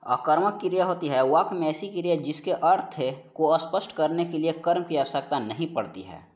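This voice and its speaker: A man's voice: a little high, lively intonation, a little fast. A man teaching an exam-prep class, explaining practice questions and repeating key phrases as he goes. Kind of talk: instructional lecture, working through practice questions.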